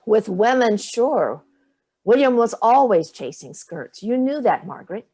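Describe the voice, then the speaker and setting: A woman acting in a staged play reading as a stern older mother, blunt and curt, with a husky voice. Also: a little high, sing-song, measured pace, warm tone, heavily acted. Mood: scornful.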